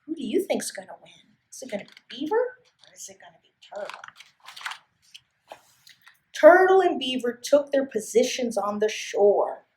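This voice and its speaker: A woman reading a story to young children in an expressive, sing-song way, often putting questions to her listeners. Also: a little high, normal speed, long pauses, reverberant, rough recording. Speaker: library story time host and reader.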